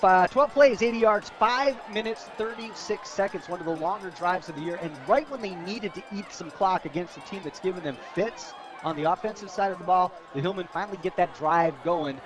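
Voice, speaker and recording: A man's voice: sits high, a little fast, few pauses. An American man giving conversational football color commentary on the radio in a casual, folksy, bantering way. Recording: stadium crowd behind radio broadcast.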